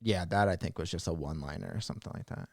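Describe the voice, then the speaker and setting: A man's voice: deep voice, racing, vocal fry, darkish tone, no room sound. A man co-hosting a casual chat show, bantering in a teasing, joking way. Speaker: podcast co-host and audio drama producer.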